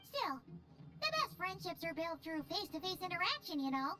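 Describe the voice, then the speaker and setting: Tiny game fairy, extremely high voice, animated swings, childlike, chatty, sassy, excitable. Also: female voice, moderate pace. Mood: grumbling. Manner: squeaky, exclamatory, refers to herself in third person. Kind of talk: video game character dialogue.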